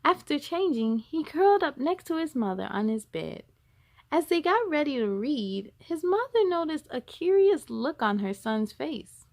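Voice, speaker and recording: Woman, storybook narration, higher-pitched, very lively intonation, emphatic, dry recording. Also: measured pace, dry recording. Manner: expressive, emphatic reading. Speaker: storytime read-aloud host. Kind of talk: animated children's storybook narration.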